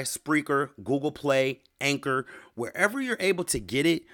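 A man's voice: a little high, a bit bright. A man hosting his own podcast alone, talking loosely and conversationally, often checking in with "you feel me" and "man". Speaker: podcast host. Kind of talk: casual solo podcast talk.

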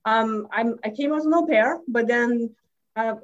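Lithuanian-accented woman, high and muffled. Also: a little slow. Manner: animated, many fillers, slightly broken English. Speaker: stress management coach. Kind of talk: casual interview storytelling.

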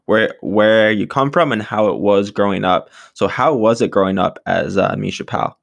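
Gravelly low-voiced male interviewer. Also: moderate pace. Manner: affirming, encouraging. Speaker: podcast interviewer. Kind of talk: conversational interview questions.

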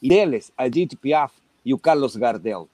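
Chilean guy telling a joke, doing the voices, mid pitch, dry close sound. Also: moderate pace, no room sound. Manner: acts out dialogue in a joke with lively voices. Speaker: correspondent. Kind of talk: anecdotal storytelling.

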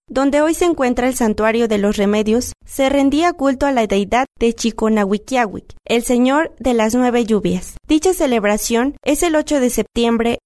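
A female radio presenter whose first language is Chocholteco, reading a cultural segment clearly and informatively. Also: on the high side, darkish tone, no room sound, clean studio sound. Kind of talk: read narration.

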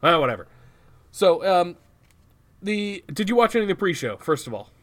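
A man co-hosting a podcast in casual chat, joking and swearing in quick banter. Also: high-pitched, sing-song, fairly bright tone. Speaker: podcast co-host.

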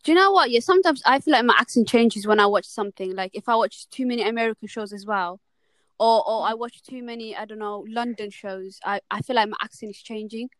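A woman's voice: fairly high pitch, quick pace, a bit bright, no room sound. A young woman in casual chat, emphatic and talkative, often checking in with questions. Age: young adult. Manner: chatty and emphatic, asks lots of questions. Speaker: student co-host.

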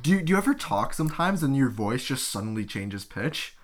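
A man's voice: medium pitch, big pitch swings, brisk. A teenage boy, co-hosting a casual chat podcast and speaking in slangy, swearing talk with lots of laughter. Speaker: podcast co-host.

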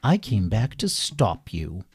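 A man's voice: lowish voice, huge pitch swings, slow pace, a little mellow, exaggerated voice. A man voicing an earnest dog sidekick who stands up for himself in a children's story. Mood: resolute.

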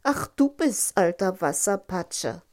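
A woman's voice: medium pitch, expressive, unhurried, a little mellow, lightly acted. A woman voicing a spoiled young fairy tale princess, plaintive and emphatic. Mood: scornful. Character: spoiled, tearful young princess, pleading and disdainful.

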